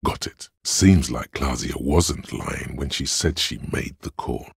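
A man voicing a cold, analytical inner voice in a detective video game, very deep, gravelly and husky, speaking in a measured, detached way. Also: dry recording, clean studio sound, heavily acted. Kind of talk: scripted video game narration.

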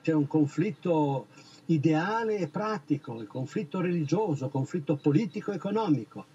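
Older man, husky high voice, reflective interview answers, outdoors. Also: expressive, normal speed, dark tone, muffled recording. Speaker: artist interviewee. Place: outdoors among trees.

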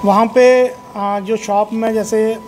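A middle-aged male police officer giving a formal press briefing, speaking in a measured way with frequent hesitations. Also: sits high, little pitch movement, a little mellow, a little hiss or hum. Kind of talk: press conference statement. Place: indoor police station room. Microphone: table of press microphones.

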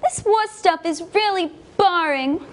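A woman's voice: very high-pitched, unhurried, slight background noise. A young woman acting an opinionated, fussy 1940s young woman in stage musical comedy. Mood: indignant, fussy. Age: young adult. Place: TV studio.

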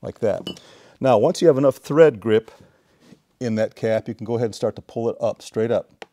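A middle-aged man giving a casual, deliberate, step-by-step hands-on repair tutorial. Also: medium pitch, mellow. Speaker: DIY repair demonstrator. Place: indoor home workshop.

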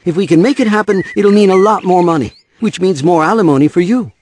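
A man's voice: sits high, fast, darkish tone, a little hiss or hum, lightly acted. A man playing a harried, defensive divorced father in an audio drama, weary and impatient. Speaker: voice actor.

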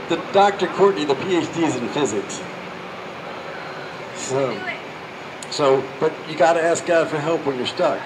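A man's voice: a little high, background noise. A man street preaching on a town sidewalk, talking earnestly and encouragingly into a stand mic.

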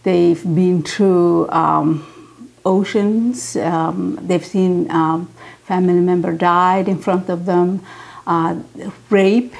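A middle-aged woman talks slowly and haltingly in an interview. Her voice is husky and creaky. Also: fairly low pitch, fairly even intonation, darkish tone. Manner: halting, searching for words. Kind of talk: documentary interview. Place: quiet room indoors.

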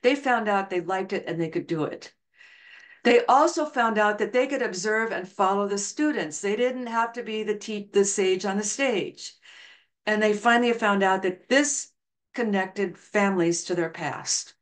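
A woman's voice: medium pitch, normal speed. A middle-aged woman giving an online lecture over slides on a video call, talking earnestly and telling stories. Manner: earnest, storytelling, reads quotes aloud. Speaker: education researcher presenting a talk. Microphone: video call webcam.

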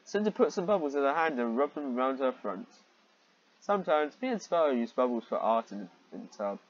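A man's voice: mid-range voice, sing-song, fairly quick, dull audio. A man reading fan fiction aloud and commenting on it in a sarcastic, exasperated, sweary manner.

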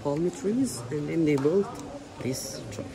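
A man in his thirties guiding visitors, explaining in simple, halting non-native English. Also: a little high, expressive, creaky, crowd noise. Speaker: tour guide. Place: large church interior. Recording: noisy ambience inside a church.

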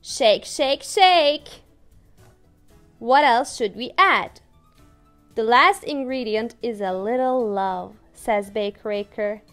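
A young woman with a Turkish accent, an English teacher reading a picture book aloud to toddlers in a slow, sing-song, playful way. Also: fairly high pitch, a bit bright, soft background music. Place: small room indoors.

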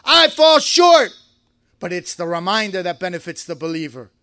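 Male imam, very high bright voice, fervent sermon delivery with shouted peaks, dry close sound. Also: very animated, moderate pace, dry recording. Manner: impassioned preaching, rising to shouts, quotes Arabic verses. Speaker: imam giving a Friday sermon. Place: mosque.

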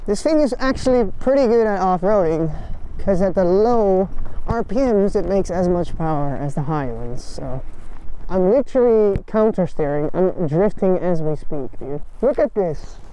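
A young woman gives casual, excitable, blunt commentary with swearing, recorded on a helmet action camera while riding outdoors. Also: lowish voice, normal speed. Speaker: motovlogger. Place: outdoors, riding an electric motorbike on roads and forest trails. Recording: noisy outdoor ride audio.

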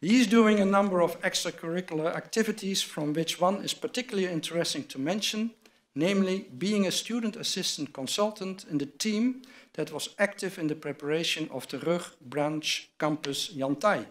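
An older man in a large wood-panelled hall, reading formal award citations from notes at a lectern microphone. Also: high-pitched. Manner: measured, reads from a script at a lectern. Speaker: award presenter at a university ceremony. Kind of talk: formal ceremony address read from notes.

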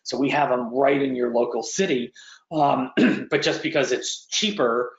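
A man's voice: mid-range voice, measured pace. A middle-aged man hosting a webinar on a video call, talking conversationally with many ums and restarts. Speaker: webinar host. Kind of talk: presenting and interviewing. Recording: roomy, dull video call audio.

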